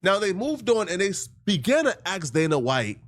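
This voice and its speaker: A man's voice: high-pitched, very animated, moderate pace. A man giving emphatic, indignant, slangy commentary, repeating phrases for effect. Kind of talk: animated opinionated video commentary.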